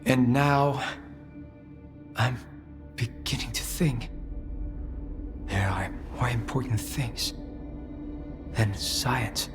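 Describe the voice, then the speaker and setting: Earnest scientist over music. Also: male voice, medium pitch, drawn-out words, long pauses. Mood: searching. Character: earnest, measured male scientist seeking trust and proof. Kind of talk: dramatic video game cutscene acting.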